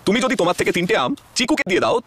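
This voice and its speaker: চড়া গলার অস্থির কার্টুন বাবা. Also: male voice, high voice, sing-song, very fast, over music. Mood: exasperated. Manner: exclamatory, easily exasperated. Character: flustered, eager cartoon father teaching his young sons. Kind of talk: animated cartoon comedy dialogue.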